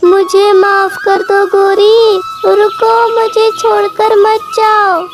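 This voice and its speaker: A very high, slow-spoken young male crow voiced by an actor from a children's cartoon. He sounds earnest and pleading, stressing his words, with clear music playing behind him. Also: level tone, exaggerated voice. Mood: shocked, pleading. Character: lazy young male crow, pleading, then remorseful and hopeful. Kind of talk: Hindi children's cartoon story dialogue.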